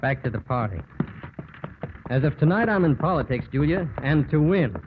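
An actor playing an earnest married small-town lawyer in love, halting as he speaks, in old-time radio drama style. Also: on the high side, expressive, over loud music, lightly acted. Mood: determined. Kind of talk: 1940s-50s radio drama acting. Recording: muffled old radio broadcast.

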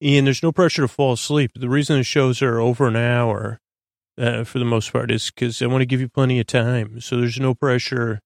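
A man hosting a bedtime podcast in a creaky, lulling voice, droning along in a halting, stammering, meandering monologue meant to help listeners sleep. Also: mid-range voice, flat delivery, no room sound, clean studio sound. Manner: halting, stammering, repeats and plays with words. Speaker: podcast host.

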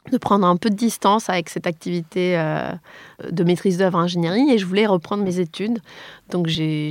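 A woman gives conversational, narrative answers as an interview guest. Her voice sits a little low for a woman. The sound is dry and close, free of room echo. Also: a little mellow. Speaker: interviewee, architect and agency co-founder.

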